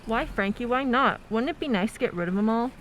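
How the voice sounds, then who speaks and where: A woman's voice: a little high, brisk, a bit bright, close to an everyday voice, poor audio. A woman playing an eager, scheming postal worker in an amateur radio play. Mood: eager, persuasive. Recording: faint background noise.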